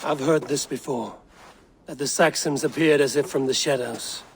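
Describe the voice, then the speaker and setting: A man voicing a steady, loyal, guarded Viking warrior in a video game, speaking in a measured, serious way. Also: medium pitch, creaky, slight background noise, close to an everyday voice. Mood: probing, serious. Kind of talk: cinematic video game acting.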